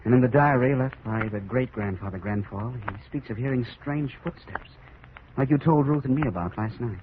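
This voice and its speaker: A man's voice: medium pitch, normal speed, close to an everyday voice. Guy playing decisive earnest hero in 40s radio drama, medium voice, muffled hissy recording. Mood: sober, explaining. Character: steadfast, commanding male plantation hero. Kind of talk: 1940s radio drama acting. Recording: muffled old radio, clear hiss.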